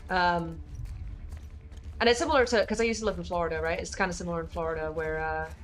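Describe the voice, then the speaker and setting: A woman's voice: on the low side, fairly even intonation, fast. A young woman from Northern Ireland with a mixed Northern Irish and American accent, chatting casually with viewers while she plays a game. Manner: chatty and rambling, many fillers, swears casually. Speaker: streamer.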